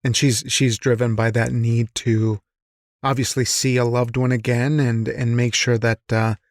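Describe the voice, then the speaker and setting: A man's voice: mid-range voice, flat delivery, a little slow, no echo. Man hosting a podcast, close dry sound. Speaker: podcast host, interviewer. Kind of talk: casual interview chat.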